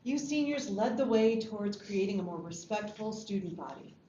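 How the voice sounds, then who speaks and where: A woman playing a formal, encouraging school staff member in a drama table read, measured in delivery. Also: mid-range voice, expressive, dark tone, close to an everyday voice. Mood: formal approval. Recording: dull, echoey room with background noise.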